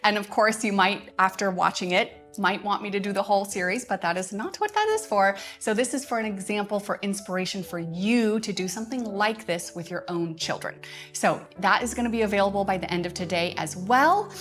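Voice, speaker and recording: A woman's voice: mid-range voice, fairly quick, fairly bright tone, faint music behind. A woman giving casual livestream announcements as a host, speaking in a chatty, enthusiastic way. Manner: chatty, enthusiastic, often chuckling. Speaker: host of a curriculum update livestream.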